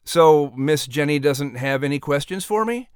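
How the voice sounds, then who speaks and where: A man's voice: fairly high pitch, animated, normal speed, clear, resonant tone, no room sound. Male announcer with a dry, close sound. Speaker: announcer and audiobook voice actor. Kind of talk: conversational interview.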